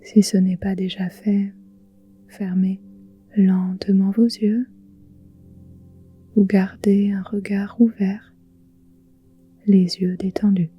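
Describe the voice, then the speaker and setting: A woman guiding a relaxation meditation in a soft, breathy, whispery voice, soothing, with gentle pauses. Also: medium pitch, flat delivery, muffled recording. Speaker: yoga nidra guide. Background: soft music with singing bowls.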